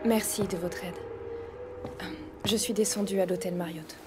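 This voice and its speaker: A woman's voice: medium pitch, fairly even intonation, moderate pace, background noise, close to an everyday voice. An actress playing a determined, bitter gangster's ex-girlfriend in a French audio drama, grave and direct. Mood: wary.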